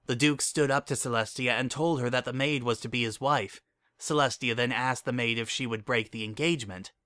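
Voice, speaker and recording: A man reads a story aloud as narrator, with dramatic delivery. His mid-range voice is bright and forceful, captured close and dry. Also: medium pitch, brisk, clean tone, no room sound.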